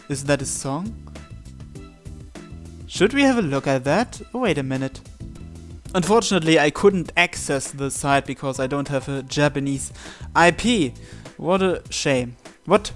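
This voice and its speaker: A man with a somewhat high voice reads a visual novel aloud for a gaming video. He performs the dialogue and narration and drops in his own remarks. Soft game music plays behind him. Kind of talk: dramatic read-aloud with asides.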